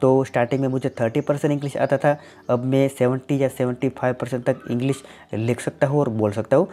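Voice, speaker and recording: A man, answers viewer questions on his tech channel in a plain, explanatory way, leaning on "definitely" and "simply". His voice is warm and mellow. Faint music plays underneath. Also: medium pitch, fairly even intonation, fast. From Gujarat, India. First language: Gujarati.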